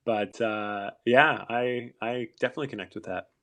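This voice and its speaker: A man's voice: medium pitch, normal speed, a bit bright. A man hosting an online audio chat, answering fans' questions in a thoughtful, rambling way with frequent restarts. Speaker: actor hosting a fan Q&A. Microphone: online audio room.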